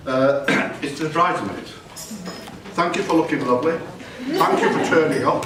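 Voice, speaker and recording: An older man delivers a father-of-the-bride speech in a jokey, rambling way, backtracking and correcting himself mid-sentence. His voice sounds far from the microphone and bounces around a big echoey room, with steady noise behind it. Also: medium pitch. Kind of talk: wedding speech. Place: wedding reception hall. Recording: echoey hall from far off, noisy.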